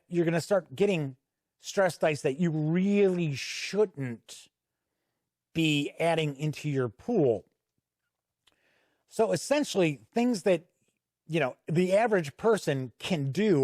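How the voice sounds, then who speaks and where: A man with a slightly high voice reviews a tabletop game as he pages through it. He explains things in a conversational, unscripted way, and the recording is dry and close, with little room sound. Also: darkish tone, no room sound. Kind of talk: unscripted review commentary while paging through a product.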